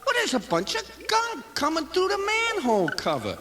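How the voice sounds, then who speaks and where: A man's voice: very high-pitched, huge pitch swings, brisk, very noisy, heavily acted. A man playing a dim, agreeable fellow in a comic radio play, hesitant and easily talked round. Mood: agreeable, curious.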